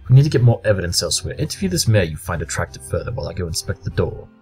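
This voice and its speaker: A brilliant pony detective acted by a man, with a low-pitched voice. He speaks in formal, exact deductions and is blunt and matter-of-fact, as in an audio drama, over faint music. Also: sing-song, racing, mellow. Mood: dry, analytical.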